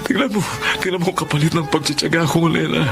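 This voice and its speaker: An actor with a Filipino accent playing a humble, devout, grateful family driver in a radio drama, halting and deferential. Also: male voice, fairly high pitch, steady tone, creaky. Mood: tearful, grateful. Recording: studio drama with loud music behind.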